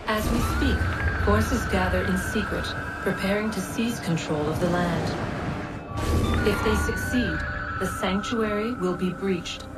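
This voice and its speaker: An actress playing a solemn, all-knowing female spirit guide in a video game, speaking gravely and formally like an oracle. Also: mid-range voice, natural voice. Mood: urgent, foreboding. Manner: slow, grave, formal and oracular. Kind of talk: dramatic game voice acting. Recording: distant voice under loud music.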